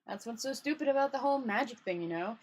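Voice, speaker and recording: A woman's voice: fairly high pitch, lively intonation, fast talker. A woman voicing an irritable, hopeless male failed wizard in a grumbling, fretful storybook read-aloud. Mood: gloomy.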